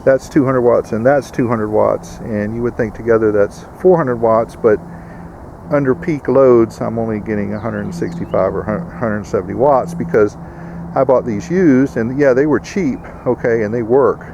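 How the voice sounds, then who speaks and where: A man's voice: medium pitch, moderate pace, creaky, noisy background. A man giving a casual, plainspoken instructional vlog in a folksy way, like a host showing viewers his homemade setup. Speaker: host of homesteading video channel.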